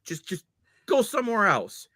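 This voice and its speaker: A man hosting a casual hockey podcast, talking with energy and getting loud when worked up. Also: sits high, moderate pace, no room sound, studio quality. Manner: animated, loud when riled up. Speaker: podcast host. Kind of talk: casual sports chat.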